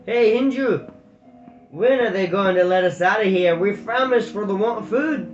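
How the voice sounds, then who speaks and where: A man's voice: high voice, unhurried, fairly bright tone, faint music behind, voice pushed up. A man voicing a tall, powerful male squirrel warrior with a Scottish Borders accent, lazy and roguish. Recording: echoey room, dull lo-fi sound.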